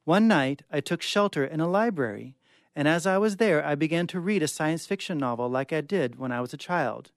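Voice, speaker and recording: Male guest reader for short fiction, dramatic delivery, slightly high voice, dry studio sound. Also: expressive, fairly quick, dry recording. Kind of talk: dramatic short-story reading. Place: radio studio.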